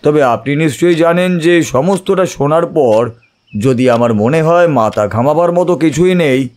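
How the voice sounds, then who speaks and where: Higher-voiced, self-assured male detective, acted. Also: animated, a little mellow, lightly acted. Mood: calm, frank. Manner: measured, confident, instructive. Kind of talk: Bengali audio detective drama acting.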